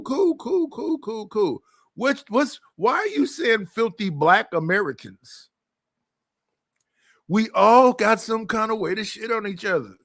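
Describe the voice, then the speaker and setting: A man's voice: high voice, a bit bright. A man giving heated, casual commentary as a livestream guest, profane and laughing between his points.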